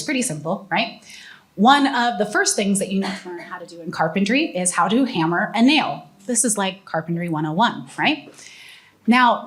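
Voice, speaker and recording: A young woman speaking as a guest on a live podcast panel in a hotel event room, projecting confidently and explaining clearly into a handheld mic. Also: mid-range voice, fairly bright tone. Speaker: guest, AI ethicist and author. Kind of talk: panel conversation.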